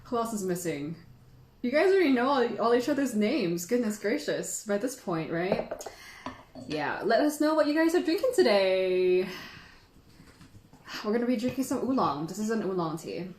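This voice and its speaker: The recording is noticeably echoey, with the room audible around her voice. A woman of average pitch hosts a casual livestream. She talks informally and sentimentally, in a chatty, giggly manner. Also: very animated, normal speed, a little mellow, a little hiss or hum. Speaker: livestream host and tea teacher.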